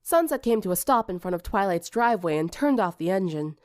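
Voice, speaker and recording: A woman's voice: medium pitch, animated, a little fast, no room sound, clean studio sound. A woman reading scripted fiction as an audiobook narrator.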